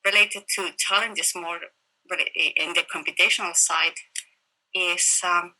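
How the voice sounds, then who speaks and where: A woman with a Chilean Spanish accent, a professor explaining her research in an interview over a video call, phrasing her answers in a halting, reflective way. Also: on the low side, steady tone, bright, forceful tone, rough recording. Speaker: interviewed professor of computational chemistry.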